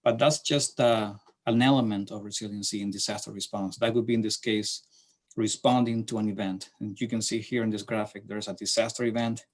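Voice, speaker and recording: A middle-aged man from Chile with a Chilean Spanish accent, giving a slide presentation to a class in a halting way with many fillers and restarts. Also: lowish voice, steady tone. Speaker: city transportation planner presenting to a class.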